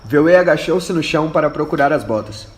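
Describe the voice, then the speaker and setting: A man's voice: on the high side, lively intonation, fairly quick. A man narrating a scripted radio drama as its storyteller. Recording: echoey room with faint noise.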